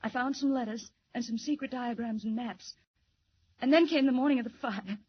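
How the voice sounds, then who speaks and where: A woman's voice: fairly high pitch, moderate pace, husky. A woman playing a frightened but defiant young secretary in an old 1940s radio drama, speaking with emphatic, breathless urgency. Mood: anxious, urgent. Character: frightened, defiant young secretary in hospital, telling her story. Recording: dull muffled 1940s radio recording.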